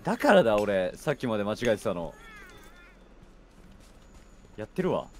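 A man's voice: on the low side, big pitch swings, normal speed, darkish tone, slight background noise. A man in casual, offhand co-op gaming chat, reacting and bantering with his partner as he plays. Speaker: video game streamer. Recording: game music behind the voice. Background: video game music.

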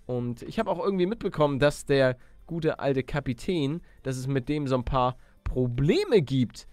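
A young man giving casual, slangy video game commentary as a let's play host, animated and chummy with his viewers. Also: medium pitch, moderate pace, dry recording, soft background music.

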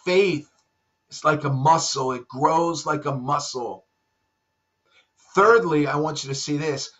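A middle-aged man preaching a sermon at his desk at home, his voice pitched high for a man. He speaks earnestly and sinks into hushed low tones to stress a point. The webcam sound is dull and lo-fi. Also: slow pace. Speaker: pastor. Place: home room with bookshelves.

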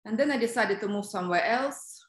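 A woman's voice: on the high side, brisk. A woman from Russia with a Russian accent, conversationally telling her story as an interview guest on a video call. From Russia (Siberia). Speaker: interview guest, business development professional. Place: indoor room on a video call. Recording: roomy, echoey video call.

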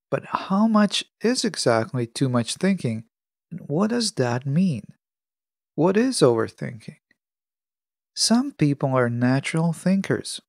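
A man hosting a solo podcast, reading a prepared monologue in measured, deliberate phrases. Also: medium pitch, gravelly, no room sound, studio quality. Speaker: podcast host. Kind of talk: scripted solo podcast monologue on self-help.

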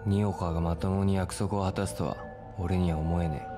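Man as a deep, brash street fighter. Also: fairly even intonation, moderate pace, lightly acted. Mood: defiant. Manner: rough, blunt slangy speech. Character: brash, tough young male street fighter, gruff and defiant. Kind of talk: dramatic video game dialogue. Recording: echoey reverb, music underneath.

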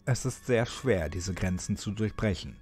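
A man narrating a horror story as a dramatic audiobook, addressing the listener ominously with pauses and occasional near-whispers. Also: fairly low pitch, animated, darkish tone, slightly rough. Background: music.

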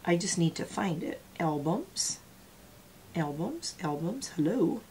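Makeup vlogger, forties, sniffly, chatty and meandering, a bit of faint room hiss. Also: female voice, lowish voice, animated, takes it slowly, darkish tone. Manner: chatty, rambling, talks while applying makeup. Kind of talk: casual get-ready-with-me chat. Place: small home room with gaming chair.

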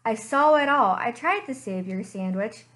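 A woman's voice: a little high, lively intonation, normal speed, fairly bright tone. A woman voicing an earnest little dog narrator who confides and apologizes in a storybook voice. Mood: confiding.

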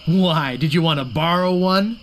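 Lightly high, wry young adventurer. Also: male voice, normal speed, creaky, background noise. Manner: earnest, lightly sarcastic. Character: naive, wry young male would-be pirate. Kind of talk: video game acting.

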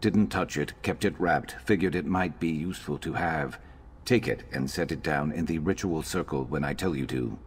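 A man playing a gruff, loyal reptilian companion in a video game, dry and terse with a vengeful streak. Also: extremely low pitch, normal speed, heavily acted. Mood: grim, determined. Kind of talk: video game character acting.

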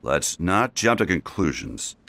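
A deep-voiced, stern toy space ranger from a video game. He speaks with slow, clipped authority and sweeping, dramatic intonation, brave and wary of strangers. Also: big pitch swings, gravelly, fairly bright tone, no room sound, heavily acted. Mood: wary, urgent. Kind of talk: animated video game dialogue.

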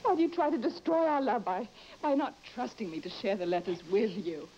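An actress playing an anxious, devoted and insistent wife in an old-time radio horror drama, pleading in an earnest, dramatic manner. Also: female voice, a little high, animated, fairly quick, mellow, close to an everyday voice. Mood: hurt, pleading. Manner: earnest, dramatic, urging with broken, repeated phrases. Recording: dull, noisy old radio broadcast recording.

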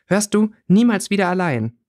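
A man's voice: sits high, sing-song, normal speed, a little mellow, no room sound, studio quality, voice pushed up. A man voicing a caring, decisive young schoolgirl with a firm manner, in an audiobook. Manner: firm, bossy but caring.

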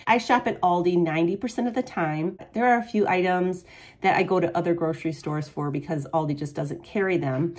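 A woman's voice: on the low side, darkish tone. A woman with a cold and a stuffy, nasal voice, from the United States, chatting casually as she walks through a home cooking video and explains prices step by step. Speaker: keto cooking channel host.

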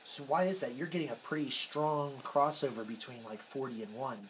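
A man's voice: mid-range voice, fairly quick. A man giving a casual, hands-on technical demonstration, explaining informally and sometimes trailing off. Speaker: radio hobbyist demonstrator. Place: cluttered indoor radio workshop. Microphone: handheld camera, off screen. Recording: noisy room, handheld camera recording.

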